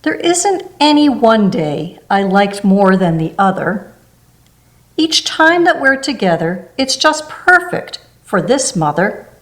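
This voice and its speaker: A woman reading a rhyming children's picture book aloud, gentle and unhurried, with small asides between the pages. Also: mid-range voice, sing-song. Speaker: storybook reader.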